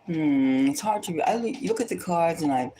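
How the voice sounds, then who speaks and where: A woman's voice: low-pitched, lively intonation, normal speed, dark tone, slight background noise, rough recording. A woman tarot reader chatting casually and thinking aloud, gossipy and opinionated, often rambling and repeating her phrases. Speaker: tarot reader hosting a celebrity psychic reading.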